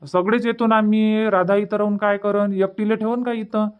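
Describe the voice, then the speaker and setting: A man's voice: sits high, little pitch movement, normal speed, clean tone, highs cut off, lightly acted. A man voicing an easygoing young village son in a cartoon comedy, chatty and colloquial, with a rural Vidarbha Marathi accent. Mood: reassuring, cheerful.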